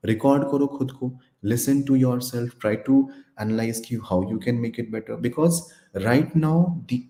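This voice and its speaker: A man's voice: mid-range voice, mellow. A man with an Indian accent, a native Hindi speaker, giving instructive advice in a live online session, repeating points for emphasis and checking that listeners follow. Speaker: instructor and career mentor. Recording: roomy, echoey sound.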